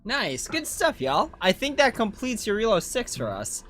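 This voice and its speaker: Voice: medium pitch, huge pitch swings, moderate pace, fairly bright tone, slight background noise. A game streamer giving casual live commentary in an informal, self-questioning way. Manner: informal, self-questioning, often ends with "right?".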